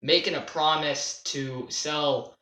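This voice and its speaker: A young man telling a casual, unscripted gaming story in a halting, self-deprecating way, stressing key words as he goes. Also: a little high, fairly even intonation, sharp tone. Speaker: video creator telling a personal gaming story. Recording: roomy, echoey home recording.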